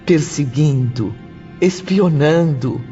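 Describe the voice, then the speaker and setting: A man gravely narrating a dramatic audiobook. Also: a little high, slow, warm tone. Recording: muffled, music behind.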